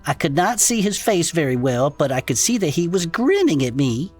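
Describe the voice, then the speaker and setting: A performer playing an irreverent, excitable non-human cryptid podcaster in a comedic audio drama, talking in folksy slang. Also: mid-range voice, moderate pace, faint music behind. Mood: storytelling. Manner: folksy slangy casual speech, chuckling. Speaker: co-host of a cryptid discussion show. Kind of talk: improvised comedic audio fiction banter.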